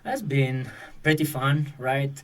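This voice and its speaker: A young man with an Italian accent, hosting a casual chat in English as a second language and often pausing to restart his sentences. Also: medium pitch, lively intonation, recorded from a distance. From Italy. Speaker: podcast host.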